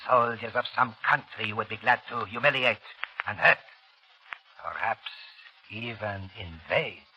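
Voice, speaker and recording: An actor playing a cold, scheming doctor in an insinuating, theatrical 1930s radio style. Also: male voice, on the low side, a little slow, slight background noise, lightly acted. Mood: coldly persuasive. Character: sinister foreign doctor, cold scheming germ-warfare villain. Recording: dull vintage 1930s radio recording, faint music.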